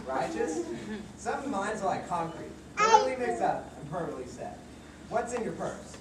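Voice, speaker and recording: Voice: medium pitch, wildly swooping pitch, moderate pace. An amateur puppet-show performer playing a folksy airport security guard full of corny quips. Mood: wry, nosy. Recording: echoey room, distant mic, crowd noise.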